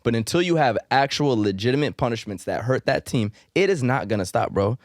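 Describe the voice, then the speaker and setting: A man's voice: mid-range voice, animated, a little fast, no room sound. Brief: fired-up male podcast co-host, emphatic and slangy, mid-range voice, dry mic. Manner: heated and emphatic, keeps saying "bro". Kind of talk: casual football podcast chat.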